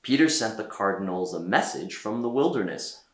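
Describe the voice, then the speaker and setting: A man reading a children's story aloud as a storyteller, with theatrical delivery and deliberate pauses. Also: fairly low pitch, expressive, roomy sound. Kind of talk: expressive read-aloud narration for children.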